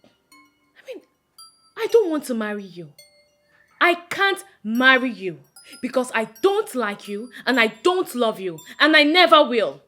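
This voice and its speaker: Actress as a defiant young Nigerian woman, animated voice. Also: medium pitch, a little slow, long pauses, soft background music, lightly acted. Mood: indignant, firm. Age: young adult. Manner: emphatic, pleading, indignant. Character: defiant, plain-spoken young village woman resisting a suitor. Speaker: actress in a film drama. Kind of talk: naturalistic film drama dialogue.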